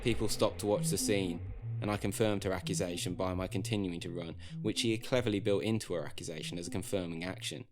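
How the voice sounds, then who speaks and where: A man with a low-pitched voice narrates a scripted personal story. He is wry and self-deprecating, spinning out wordy sentences as a comic monologue. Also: steady tone, fast talker, hardly any pauses.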